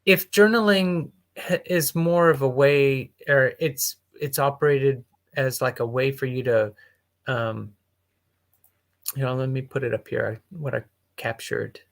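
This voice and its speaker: A man's voice: medium pitch, steady tone, measured pace, creaky. A man hosting a casual conversation, thinking aloud in a reflective way as he puts his thoughts together. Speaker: host.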